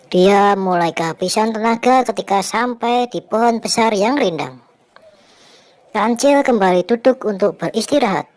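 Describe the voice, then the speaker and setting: A woman's voice: medium pitch, a little mellow. Woman narrating a children's story.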